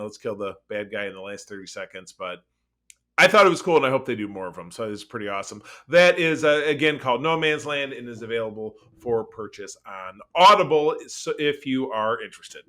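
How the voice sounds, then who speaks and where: A man chatting casually as a podcast co-host, rambling along with animated, geeky enthusiasm. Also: mid-range voice, brisk. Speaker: podcast co-host reviewing pop culture. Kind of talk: casual chat.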